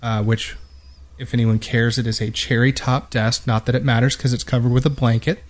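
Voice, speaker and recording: A man with a mid-pitched voice hosts a casual gaming podcast. He rambles in a self-deprecating way, speaking close to a boom-mounted microphone in his home studio. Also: level tone, brisk. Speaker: podcast host. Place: home studio with unpadded walls. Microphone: boom-mounted microphone close in front of mouth.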